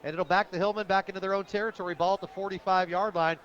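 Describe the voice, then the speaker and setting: An American man calling live football play-by-play on the radio in an animated, colloquial, opinionated way. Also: sits high, quick pace. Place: stadium press booth, radio broadcast. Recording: muffled broadcast audio, outdoor stadium noise.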